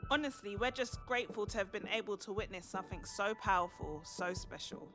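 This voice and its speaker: Brief: actress as an amazed female helper, medium-pitched voice, radio drama with faint music. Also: brisk, pushed and bright, natural voice. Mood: grateful. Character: awestruck female helper at an emergency birth. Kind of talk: audio play acting.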